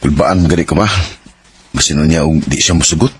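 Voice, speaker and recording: A man's voice: rumbling low, fairly even intonation, brisk, a little hiss or hum. An actor playing an earnest young man telling his love story in a radio drama. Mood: bashful, hopeful. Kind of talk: Cebuano radio drama acting. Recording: heavily echoing radio drama production.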